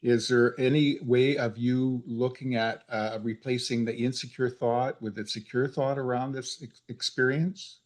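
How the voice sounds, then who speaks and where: A man with an ordinary mid-pitched voice gives peer support. He coaches gently and hesitantly, feeling for his words as he goes. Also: fairly even intonation, measured pace, hardly any pauses. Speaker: participant acting as support-call helper. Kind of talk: unscripted role-played peer-support call.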